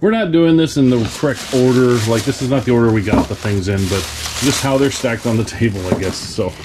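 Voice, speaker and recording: A man's voice: mid-range voice, a little fast. An American man talking casually as a reseller showing his finds. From United States. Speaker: reseller and vlog host. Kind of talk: casual haul chat. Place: cluttered indoor workroom. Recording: noisy room, clinking items handled.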